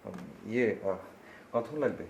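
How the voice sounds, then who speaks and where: An actor with a Bangladeshi accent playing a hesitant, romantic young man in a TV drama, speaking haltingly and musingly. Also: lowish voice, gravelly, background noise. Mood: gentle, romantic. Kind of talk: naturalistic TV drama acting. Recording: music behind the voice. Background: music.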